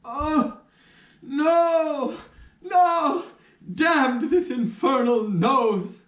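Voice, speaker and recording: A man voicing a pompous, excitable major in a puppet play, with theatrical, exclaiming, drawn-out delivery. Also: extremely high pitch, exaggerated voice. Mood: anguished. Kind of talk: puppet theatre character acting. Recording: echoey room, distant mic.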